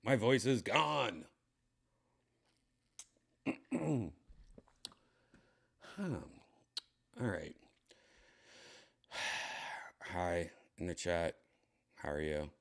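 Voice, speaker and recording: Raspy, slow-talking tipsy man. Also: low voice, a bit bright. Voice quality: raspy, dry throat. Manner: rambling, slow, clears throat often. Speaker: comedian streaming host. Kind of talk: casual livestream chat.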